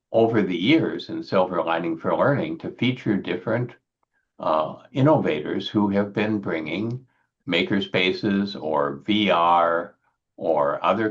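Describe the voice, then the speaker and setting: A man hosting a podcast, talking frankly in a measured way with frequent hesitations. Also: deep voice. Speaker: podcast host. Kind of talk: conversational podcast talk. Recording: dull lo-fi audio.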